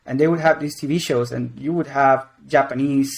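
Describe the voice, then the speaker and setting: A man's voice: medium pitch, fairly even intonation, fairly quick, creaky. Chatty young man in his twenties. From Venezuela and Mexico. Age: 20s. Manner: rambling, many restarts and fillers. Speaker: game creative director. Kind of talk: casual interview answers.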